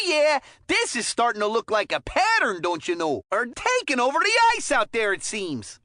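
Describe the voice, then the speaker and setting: High, excitable cartoon hockey announcer. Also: female voice, no room sound, studio quality, exaggerated voice. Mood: musing, folksy. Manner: exclamatory, folksy, joking. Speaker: sports commentator. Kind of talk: scripted play-by-play game commentary.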